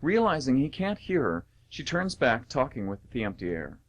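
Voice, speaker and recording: A man's voice: mid-range voice, big pitch swings, moderate pace. A man narrating an amateur radio play, reading out scripted stage directions.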